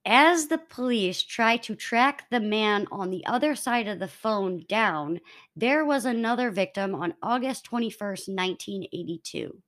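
A woman's voice: medium pitch, expressive, unhurried, a bit bright. A woman hosting a true crime podcast, narrating in an informal, conversational way between bits of casual chat.

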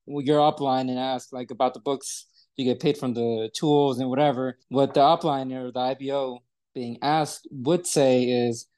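Guy in his twenties, mellow low-key voice, rambles and restarts a lot. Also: fairly even intonation, moderate pace. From United States. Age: 20s. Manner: rambling, frequent restarts and fillers. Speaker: podcast guest. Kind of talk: casual storytelling.

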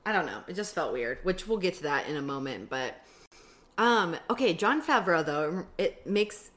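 A woman's voice: lowish voice, very animated, moderate pace, fairly bright tone. A woman in her thirties with a slight lisp, chatting casually as a podcast co-host and peppering her talk with "like". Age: 30s. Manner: chatty, fills speech with "like". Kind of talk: casual chat recapping a sitcom episode.

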